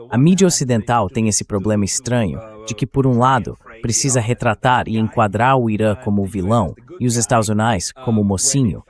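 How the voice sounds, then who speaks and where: A man's synthetic voice dubbing the host of a live discussion in translation. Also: lowish voice. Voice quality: synthetic dubbed voice. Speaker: interview host.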